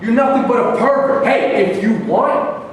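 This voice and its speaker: A man's voice: very high voice, moderate pace. A man playing a stubborn, defiant older Palestinian in a staged play reading, combative and defensive in his delivery. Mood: insulting. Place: live staged reading in a reverberant room. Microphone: distant microphone. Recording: echoey hall from far off, noisy.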